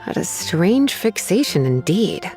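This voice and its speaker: A woman playing a flirtatious, composed librarian mage in an anime-style video game, with a coy, drawn-out, teasing manner. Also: fairly low pitch, big pitch swings, darkish tone, faint music behind. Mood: teasing, focused.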